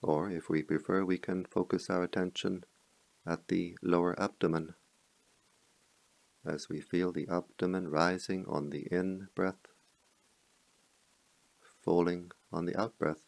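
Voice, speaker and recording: A man leading a guided meditation as a teacher, speaking in slow, measured phrases with pauses between them. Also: low voice, level tone, vocal fry, darkish tone, no echo.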